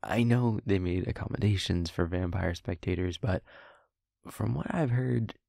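A man playing a sincere, slightly nervous vampire in an audio roleplay, halting and wry with his friend. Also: low-pitched, gravelly, warm tone, no echo, studio quality. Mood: wry, amused. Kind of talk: intimate audio roleplay acting.

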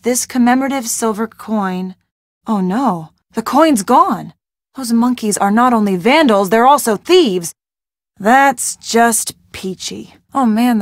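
An actress playing a wry, peppy young woman in an adventure game, expressive and colloquial, with an American accent. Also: a little high, dry recording, studio quality. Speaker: video game voice actor. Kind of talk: scripted adventure game dialogue.

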